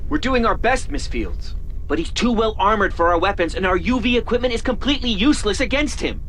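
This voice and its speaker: A man's voice: high-pitched, lively intonation, brisk, a bit bright. An actor playing an anxious guard in a video game, defensive and deferential as he reports. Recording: faint background noise.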